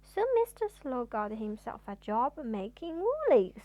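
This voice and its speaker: High-pitched, animated woman reading a children's story. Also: no echo. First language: Chinese. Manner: theatrical, drawn-out emphasis on words. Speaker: mother reading a bedtime story to her child.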